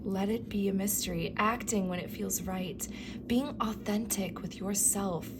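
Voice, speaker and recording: A woman reading tarot cards for her viewers, speaking softly and affirmingly, often slipping into a whisper, her voice going from a cough. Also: medium pitch, unhurried, noisy background. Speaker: tarot reader. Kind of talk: pick-a-card tarot reading.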